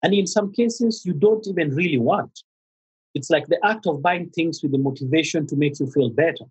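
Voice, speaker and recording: A middle-aged man with a Kenyan accent, a therapist speaking as a podcast guest, pausing often with fillers and restarts. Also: fairly high pitch, a little mellow, breathy edge, low-quality recording. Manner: halting, many fillers and restarts. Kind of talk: conversational interview.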